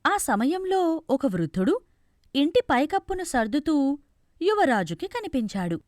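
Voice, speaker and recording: A woman's voice: sits high, sing-song, unhurried, darkish tone, no room sound, studio quality. A woman narrating a scripted fairy tale as a storyteller.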